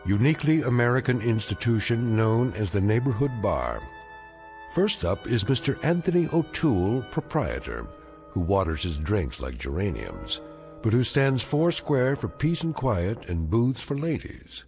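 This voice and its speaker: An actor narrating an eerie radio drama in a measured, wry, knowing manner. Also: male voice, lowish voice, big pitch swings, a little mellow, soft background music. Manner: measured, sardonic.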